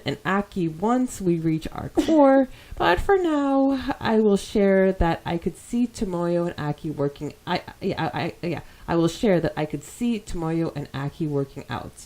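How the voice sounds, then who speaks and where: A woman hosting a podcast, chatting casually and reading listener comments aloud in an animated way. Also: medium pitch. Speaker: podcast host.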